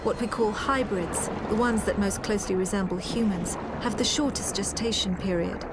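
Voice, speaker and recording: A woman playing a serious military officer who recounts a war in measured, matter-of-fact game narration. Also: mid-range voice, very noisy, close to an everyday voice. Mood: grave, detached. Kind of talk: scripted cinematic game narration.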